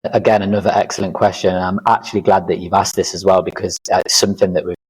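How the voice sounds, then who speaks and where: Deep-voiced thirties man, earnest and reflective. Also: level tone, quick pace, slightly rough, poor audio. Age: 30s. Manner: reflective, earnest, lists experiences with many fillers. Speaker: podcast guest, life coach. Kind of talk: casual interview chat.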